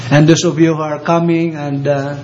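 High-voiced Filipino preacher, halting. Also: male voice, level tone, a little mellow, slight background noise. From Philippines. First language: Ilocano. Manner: halting, frequent filler pauses, slows for scripture. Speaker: visiting preacher. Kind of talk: sermon with scripture reading. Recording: dull lo-fi recording with faint ambience.

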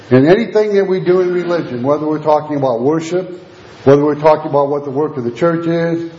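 Male preacher, slightly high voice, going hoarse, methodical sermon delivery; roomy, muffled recording. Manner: steady teaching delivery with scripture readings. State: losing his voice. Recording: echoey lo-fi room recording.

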